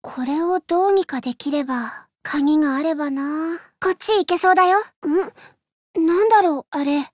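A woman voicing a gentle, polite young Japanese woman in a video game, with soft, girlish delivery in short reactive lines. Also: high voice, moderate pace, no room sound, studio quality, close to an everyday voice. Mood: curious, cautious.

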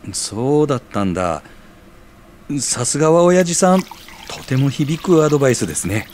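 Man playing a mild bachelor with lively intonation. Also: medium pitch, normal speed, slight background noise, natural voice. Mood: warm. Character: mild, good-natured bachelor, slightly bashful. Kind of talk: scripted audio drama acting.

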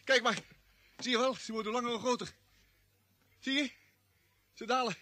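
An actor playing Jimmy, an excitable, easily panicked space crewman who stammers and repeats words in a Dutch radio drama. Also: very high voice, moderate pace. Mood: alarmed, frightened.

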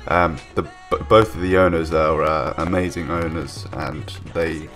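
Young male gaming vlogger, very low voice, stuffed-up from a cold, hesitant rambling, faint music behind. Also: steady tone, unhurried, fairly bright tone. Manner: rambling, hesitant, many ums and restarts. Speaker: gaming YouTuber. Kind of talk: casual voice-over commentary. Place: home room, recorded separately from gameplay.

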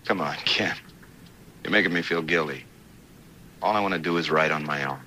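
A man's voice: very deep voice, moderate pace, pushed and bright, slight background noise. A man playing an easygoing, mild-mannered and slightly uneasy writer in a 1970s TV detective drama, speaking casually.

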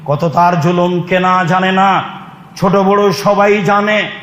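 A man's voice: high-pitched, flat delivery, takes it slowly, fairly bright tone. A Bangladeshi man preaching an Islamic sermon in an emphatic, declamatory way to a congregation. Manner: emphatic, declamatory, with Arabic recitation. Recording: echoey hall with long reverb.

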